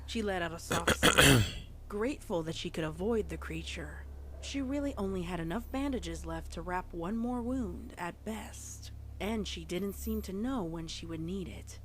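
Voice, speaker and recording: A woman's voice: fairly low pitch, expressive, normal speed, a bit bright. A woman reading fan fiction aloud as a storyteller, with casual reaction asides. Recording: faint background noise.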